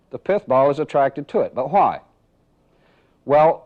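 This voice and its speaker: A man giving methodical instructional narration as a training film instructor, explaining step by step and posing rhetorical questions. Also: medium pitch. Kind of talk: instructional narration with live demonstration. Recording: dull, band-limited 1980s training film audio.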